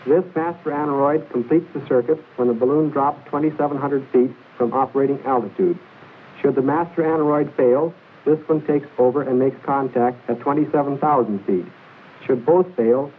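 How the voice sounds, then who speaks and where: A man narrates an old military training film in a formal, measured, precise instructional style, reading from a script. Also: mid-range voice, slightly rough, narrow, tinny audio. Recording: hissy band-limited 1940s film soundtrack.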